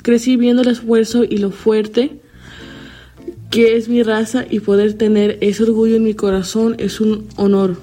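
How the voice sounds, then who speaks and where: A woman's voice: on the high side, flat delivery, a little slow, dark tone, faint music behind. A teenage girl from Chicago, a student, earnestly reading her own personal statement. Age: teens. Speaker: student protester.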